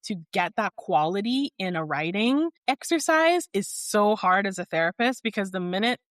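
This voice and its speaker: A woman's voice: mid-range voice, big pitch swings, moderate pace, a bit bright, dry recording, clean studio sound. A woman from Barbados in her thirties, a therapist guest on a podcast, speaking thoughtfully and articulately with lots of "like". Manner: articulate, thoughtful, heavy use of "like". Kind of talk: reflective conversational interview.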